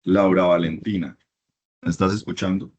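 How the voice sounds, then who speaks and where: A man's voice: sits low, flat delivery, moderate pace, a little mellow, husky, muffled recording. A middle-aged man from Colombia giving a university class lecture, emphatic and repeating his points in lists.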